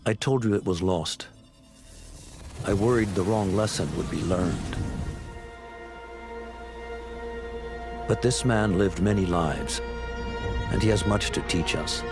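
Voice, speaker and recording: A man playing a solemn older assassin mentor, narrating a memory in a grave, measured, reflective way for a dramatic game. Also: low-pitched, music in the background. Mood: grave, confessional.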